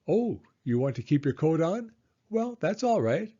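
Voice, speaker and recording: Man voicing a kindly old woman, high chirpy voice, fussing, motherly, dull recording. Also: big pitch swings, measured pace, mellow. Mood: fussing cheerful.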